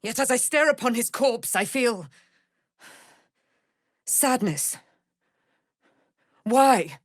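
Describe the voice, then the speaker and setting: Stately, slow-spoken immortal warrior. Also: female voice, a little high, expressive, no echo, studio quality, heavily acted. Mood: grave. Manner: grand, archaic, declamatory diction. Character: proud, righteous immortal warrior daughter of a moon goddess. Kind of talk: theatrical fantasy video game voice acting.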